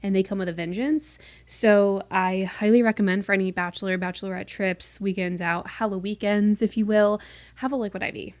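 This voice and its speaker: Chatty fast-talking young woman. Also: medium pitch, steady tone, darkish tone, no echo. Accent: mostly neutral American, with a light Southern lilt at times. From North Carolina, US. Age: 20s. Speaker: podcast host. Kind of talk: casual solo podcast chat. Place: her room at home.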